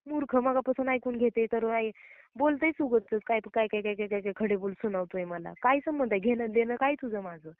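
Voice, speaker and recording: A woman's voice: on the high side, a little fast, no echo. Indignant woman on a tinny phone line. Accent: Maharashtrian Marathi. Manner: indignant, scolding, emphatic. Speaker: prank call recipient. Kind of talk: heated phone argument. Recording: narrow tinny phone-call recording.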